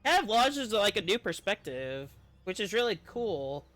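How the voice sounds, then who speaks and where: Guy streaming a story game, high bright voice, reading and reacting, bit of music behind. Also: very animated, a little slow. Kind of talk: let's-play commentary with read-aloud narration.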